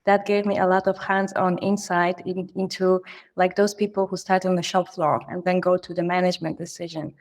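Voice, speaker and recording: A woman's voice: fairly low pitch, flat delivery, a little fast. A woman from Poland, a native Polish speaker, talking earnestly in a podcast interview about her career, often restarting her words. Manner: earnest, reflective, frequent restarts. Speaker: guest, finance leader.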